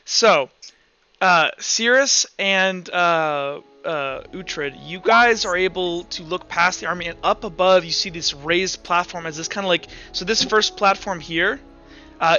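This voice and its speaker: Male game master, halting informal narration, sharp bright voice, slightly muffled recording. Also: high voice. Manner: halting, repeats and restarts phrases. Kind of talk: improvised tabletop game narration. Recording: muffled online voice call with background music.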